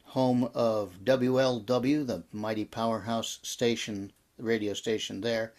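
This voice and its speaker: An American man in his sixties reminiscing in an interview as a guest, telling his story in a reflective, halting way. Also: mid-range voice, fairly even intonation, a little mellow. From American Midwest and Texas. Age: 60s. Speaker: interview guest, audio drama producer and actor.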